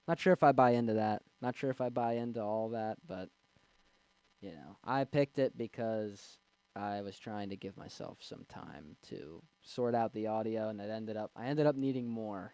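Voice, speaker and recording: A man's voice: warm tone, clear, resonant tone, no room sound, poor audio. Young man rambling on a livestream. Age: 20s. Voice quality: young, slightly squeaky voice. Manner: rambling, with fillers and restarts. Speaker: streamer reading chat. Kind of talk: casual livestream chat. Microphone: desk mic.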